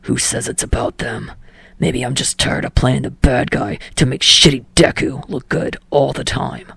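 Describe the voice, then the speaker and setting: A woman voicing an irritable, guarded young man in an audiobook story, with a low raspy growl and a terse, grumbling manner. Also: fairly even intonation, normal speed, a bit bright, dry recording, clean studio sound, full character voice. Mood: angry, bitter. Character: gruff, irritable young male actor, foul-mouthed, guarded.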